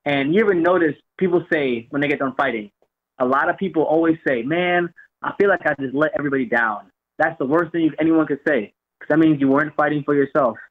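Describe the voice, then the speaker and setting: A young man speaking over a thin, tinny phone line, his voice sitting a bit high. He talks in a loose, rambling way, starting phrases twice before he gets them out. He sounds like a guest who has called in to a show. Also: fast. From Jamaica, raised in Florida. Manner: relaxed, rambling, repeats words. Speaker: guest fighter. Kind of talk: phone-in interview.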